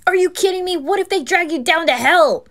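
A woman's voice: very high voice, a little fast, fairly bright tone, pitched above the performer's own voice. A woman voicing nervous, skeptical young anime lads, good-hearted and casual, with a hesitant, exasperated manner. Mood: alarmed, exasperated. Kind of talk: anime fan roleplay ASMR.

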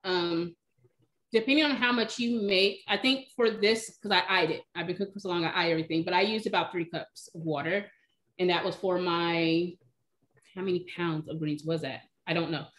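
A woman in her thirties answering cooking questions in a relaxed, chatty way on a video call from her home kitchen. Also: fairly low pitch, moderate pace. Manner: relaxed, chatty, explains by eye. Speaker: cooking demonstrator, recipe blogger. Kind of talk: casual live Q&A. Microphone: video call, webcam.

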